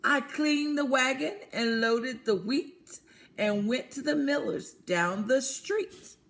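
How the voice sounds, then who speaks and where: Woman voicing a slow-talking, hardworking little red hen. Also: sits high, vocal fry, a bit bright, voice pushed up, full character voice. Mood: indignant. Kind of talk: storybook character voice for children.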